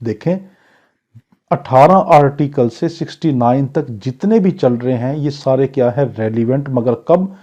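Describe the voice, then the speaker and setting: A man's voice: mid-range voice, unhurried, warm tone. A man with a Pakistani accent giving an exam lecture as a law teacher, didactic and repetitive, switching between reading out English and explaining it. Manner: didactic, repetitive, poses questions and answers them. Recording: dull lo-fi sound.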